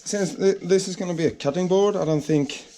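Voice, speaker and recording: Brief: Swedish-accented male maker, a touch higher voice, halting, friendly vlog chat from a home workshop. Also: noisy background. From Sweden. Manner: hesitant, searches for English words. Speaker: hobby woodworker.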